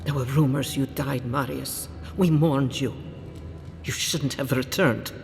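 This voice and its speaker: An actor playing a proud, stern and suspicious council leader in a fantasy game, with a formal, challenging, imperious manner. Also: male voice, medium pitch, steady tone, a little slow, gravelly, faint music behind. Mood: indignant. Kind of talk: dramatic fantasy game acting. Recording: long hall reverb.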